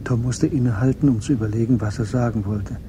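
A man's voice: mid-range voice, fast, noisy background. A man reading scripted literary narration as the narrator of a German radio drama.

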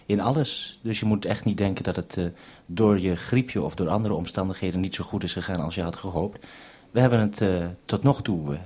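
Male radio maker, lowish husky voice, halting but reassuring delivery in two-way radio exchanges. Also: dark tone. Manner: hesitant, reassuring. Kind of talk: two-way radio conversation for broadcast. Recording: 1971 radio broadcast recording.

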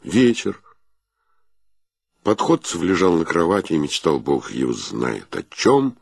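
Male wry radio-play storyteller: very low, creaky, dry close sound, measured irony. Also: huge pitch swings, dry recording, heavily acted. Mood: measured storytelling. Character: wry male storyteller narrating a comic tale. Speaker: narrator. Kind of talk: radio play literary narration.

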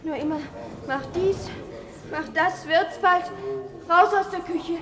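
A woman playing a frightened, neglected young girl who testifies haltingly in an old German radio drama. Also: very high-pitched, a crowd in the background. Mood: anguished. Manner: halting, repeating herself. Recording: hissy 1950s radio drama recording.

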